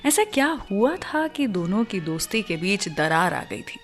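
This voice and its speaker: A woman's voice: mid-range voice, very animated, a little slow. A woman with an Indian accent hosting a radio show, telling stories conversationally and addressing listeners directly with emphatic phrasing. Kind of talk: conversational storytelling radio presenting. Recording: music bed behind the voice. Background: background music.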